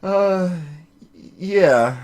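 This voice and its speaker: A man's voice: high-pitched, expressive, extremely slow, darkish tone, heavily acted. A man voicing an earnest, nervous young male rookie lawyer in a video game, a little hesitant, over music. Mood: uneasy. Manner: hesitant, stammering. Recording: music playing behind the voice.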